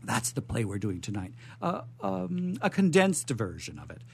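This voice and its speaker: Male radio host for live introductions: animated, rambling, playful, with noise behind the voice. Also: mid-range voice, moderate pace, creaky. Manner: playful, rambling, theatrical phrasing.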